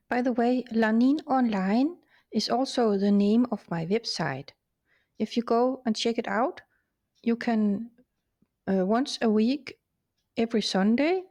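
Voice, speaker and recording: A woman from Denmark speaks, in a fairly high voice. She is a fantasy writer, and she explains things in a cheerful, chatty way. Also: darkish tone. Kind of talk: scripted conversation.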